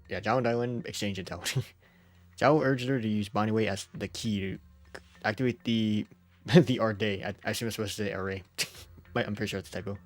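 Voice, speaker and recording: A man's voice: fairly low pitch, no echo. A man who is under the weather, streaming a game with informal, jokey commentary and reading out story text. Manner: informal, jokey, often chuckling. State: sick.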